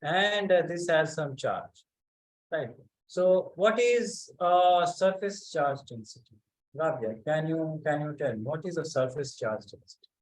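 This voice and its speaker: A man leads an online physics lesson. His voice sits somewhat high, and he talks slowly and haltingly with many pauses. He often stops to check in with students by name. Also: poor audio. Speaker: physics teacher. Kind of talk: online class lecture.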